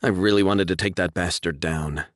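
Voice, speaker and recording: A man's voice: low-pitched, steady tone, moderate pace, dry recording, clean studio sound, close to an everyday voice. A man voicing a woman starship captain who gives short, firm orders. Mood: commanding. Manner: clipped commands.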